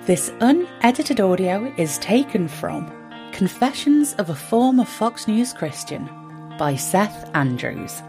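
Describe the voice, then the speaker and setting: A woman reading a scripted podcast introduction in a measured, formal way. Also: mid-range voice, sing-song, darkish tone. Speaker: podcast announcer. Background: guitar music.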